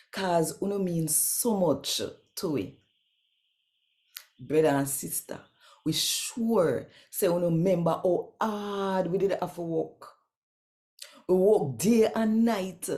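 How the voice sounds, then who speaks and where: A woman with a Jamaican accent reading scripture expressively in Patois, with deliberate, emphatic, storytelling phrasing. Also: fairly low pitch, dark tone. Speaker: scripture reader.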